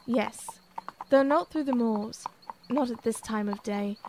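A woman's voice: on the high side, unhurried, darkish tone, slight background noise. A woman playing a practical, loyal blacksmith with formal, old-fashioned phrasing in an audio drama. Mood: calm, assured.

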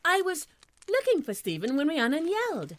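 Woman acting a very high-voiced, timid young lady. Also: huge pitch swings, moderate pace. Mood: timid, anxious. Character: timid, polite, anxious young lady of the court. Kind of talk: audio drama acting.

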